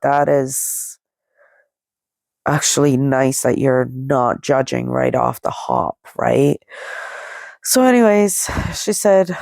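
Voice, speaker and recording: A woman's voice: low voice, gravelly, darkish tone, dry recording. A middle-aged woman podcast host telling a personal story casually in a rambling, conversational way, with a nasal voice stuffed up by a head cold. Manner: rambling, conversational, quoting dialogue as she goes. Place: indoors, lying down, dry close sound.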